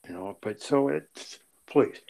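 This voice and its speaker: A man's voice: medium pitch, lively intonation, takes it slowly, gravelly, mellow. A middle-aged American man co-hosting a casual political discussion, blunt and dismissive, with a short laugh now and then. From United States. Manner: blunt and dismissive, chuckles between remarks. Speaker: podcast co-host.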